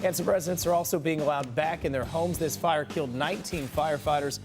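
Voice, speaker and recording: A man's voice: on the high side, fast talker, music in the background. An American man reading the news as a morning television anchor.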